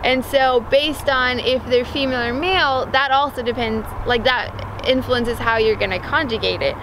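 A woman's voice: a little high, fairly even intonation, normal speed, background noise. A young woman from Iceland who grew up in Denmark, co-hosting a vlog and explaining things plainly outdoors. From Iceland, raised in Denmark. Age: young adult. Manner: explanatory, matter-of-fact. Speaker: vlog co-host. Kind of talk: casual chat to camera. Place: open field outdoors on a sunny day. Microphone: camera mic on a tripod, medium shot.

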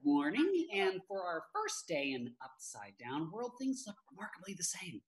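Droll young male office assistant, lively-pitched. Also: moderate pace. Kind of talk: comedy script table read. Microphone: video call.

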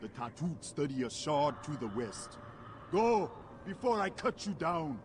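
A man's voice: on the high side, very animated, a little slow. A man playing a hostile, vengeful alien warrior leader in a video game, commanding and scornful in formal phrases. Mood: scornful anger. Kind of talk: video game character acting. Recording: clear noise behind the voice.

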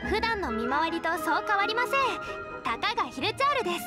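A woman playing a cheerful, energetic teenage girl scout in an anime game, polite and bubbly. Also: extremely high pitch, fairly quick. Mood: teasing, upbeat. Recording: game audio with loud music behind.

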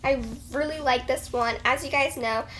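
A woman's voice: high-pitched, steady tone, normal speed, a bit bright. A young teenage girl hosting a casual, chatty review to camera in an enthusiastic, gushing way. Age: young teen. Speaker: vlog host reviewing slime. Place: carpeted bedroom, indoors. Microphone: camera a few feet away. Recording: slightly noisy room recording with clicks.

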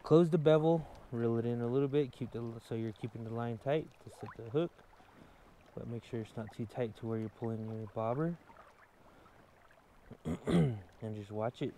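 A man's voice: mid-range voice, moderate pace, pauses often, dark tone, a little hiss or hum. A man vlogging while fishing in a river, casually explaining his setup step by step with plenty of fillers. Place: outdoors standing in a river.

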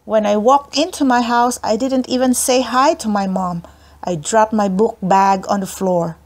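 A woman narrating a children's book aloud in an expressive storytelling style. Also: medium pitch, measured pace. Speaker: audiobook narrator.

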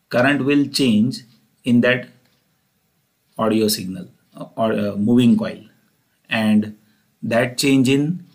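A man lecturing as an engineering teacher, explaining step by step and often repeating himself. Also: medium pitch.

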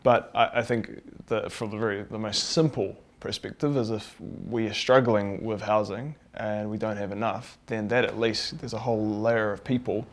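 A man's voice: lowish voice, steady tone, moderate pace, few pauses. A man in his thirties hosting an interview, asking loose, searching questions packed with fillers. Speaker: host and interviewer. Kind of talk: conversational interview. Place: outdoors on a street and in an indoor room.

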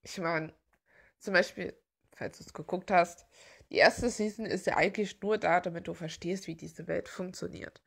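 A woman's voice: fairly low pitch, fairly even intonation, moderate pace, vocal fry, fairly bright tone, breathy edge. A woman chatting casually and giggling as she gushes like a fan, rambling along as the host of a video. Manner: gushing, giggly.

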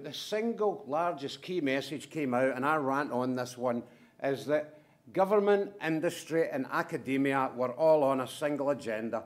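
A middle-aged man giving a conference presentation in brisk, punchy short statements with wry asides. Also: medium pitch. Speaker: conference speaker from a manufacturing firm.

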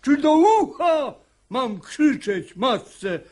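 A man's voice: extremely high pitch, big pitch swings, drawn-out words, a little mellow, exaggerated voice. An actor playing a hot-tempered, exasperated father in a radio play, delivering verse with blustering emphasis. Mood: exasperated fury. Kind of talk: theatrical radio drama acting in verse.

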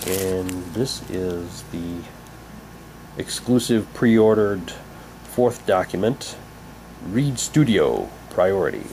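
A man giving a halting, unhurried, casual commentary as he shows and reads out items for an unboxing video. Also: deep voice. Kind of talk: casual unboxing commentary, reading packaging aloud. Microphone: handheld camera's built-in mic. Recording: noisy home camcorder, steady hum.

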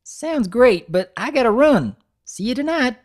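Someone putting on a perky high-pitched country filly. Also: lively intonation, a little slow, close to an everyday voice. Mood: bright, cheerful. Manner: folksy, eager, chatty. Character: perky young filly, country pony little sister. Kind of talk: dramatic reading of fan fiction.

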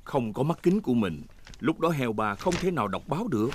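A man's voice: medium pitch, very animated, a little fast, a little hiss or hum. A man narrating a children's cartoon from a script. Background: music.

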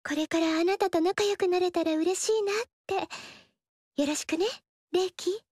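An actress voicing a gentle, friendly young noblewoman in a video game, talking warmly and chattily. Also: very high-pitched, fairly even intonation, moderate pace, no echo, clean studio sound. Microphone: studio mic, dry.

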